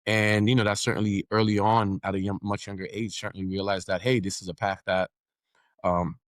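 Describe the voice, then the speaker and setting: Low-voiced Haitian man, confident. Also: flat delivery, fairly quick, no echo, studio quality. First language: Haitian Creole. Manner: confident, businesslike, repeats words while building a point. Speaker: podcast network founder, guest. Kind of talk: casual interview talk.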